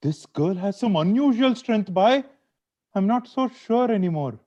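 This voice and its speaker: A man's voice: high voice, expressive, unhurried, dark tone. A man reading the part of a shifty, deferential hired crook in a play script, over a video call. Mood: uneasy. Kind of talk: table read of a play script. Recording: dull lo-fi video call audio.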